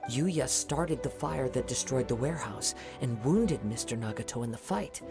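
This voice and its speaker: An actor playing a calm, observant young wandering samurai in a video game, speaking in a measured, formal way. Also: male voice, mid-range voice, music in the background, natural voice. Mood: calm, thoughtful.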